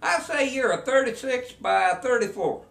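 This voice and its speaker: A man's voice: extremely high pitch, expressive, moderate pace, vocal fry, a bit bright, distant mic, voice pushed up, full character voice. A man voicing a short, self-assured male tailor, talking in a breezy, matter-of-fact way. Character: short male tailor, cocky and sure of himself. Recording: roomy echo.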